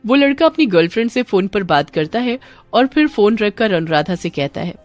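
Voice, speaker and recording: A woman reads a scripted story as its narrator. Her medium-pitched voice moves up and down in a lively way, with soft music in the background. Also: moderate pace.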